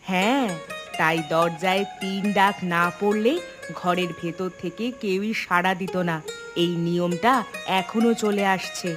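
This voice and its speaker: A woman voicing a mother in a cartoon, gravely recounting village ghost tales in a knowing way. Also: on the low side, fairly even intonation, a little slow, over music, close to an everyday voice. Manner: grave, explanatory storytelling. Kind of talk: animated story dialogue.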